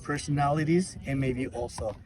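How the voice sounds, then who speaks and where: A middle-aged man from Japan speaking English with a Japanese accent. He is a taiko musician giving an interview outdoors, with lively, loosely phrased non-native English. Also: mid-range voice, moderate pace, rough recording. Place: outdoor memorial gathering. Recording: crowd noise around outdoor mic.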